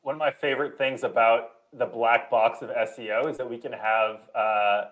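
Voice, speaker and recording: A man's voice: medium pitch, steady tone, normal speed, fairly bright tone, poor audio. A young man casually moderating a panel discussion on a video call. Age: young adult. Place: home room, video call.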